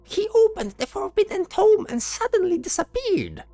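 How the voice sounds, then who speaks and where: A woman's voice: extremely high pitch, big pitch swings, moderate pace, dark tone, dry recording, soft background music, full character voice. A woman voicing a fretful, sarcastic flying skull companion in a fantasy audio drama. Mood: defensive, flustered. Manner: exasperated, sarcastic.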